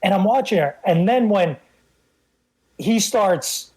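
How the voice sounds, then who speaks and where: Man in his fifties, slightly high voice, New York accent, native Italian speaker, casual storytelling, podcast guest. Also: lively intonation, takes it slowly, a little mellow, slightly rough, low-quality recording. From the Bronx, New York, United States. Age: 50s. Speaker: guest co-host on a film podcast.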